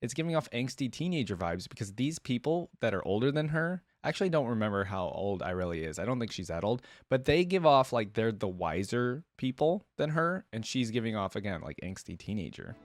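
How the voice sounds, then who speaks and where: A man's voice: medium pitch, sing-song, a little fast, hardly any pauses, dry recording, studio quality. A man giving casual reaction commentary as a host, rambling and thinking aloud.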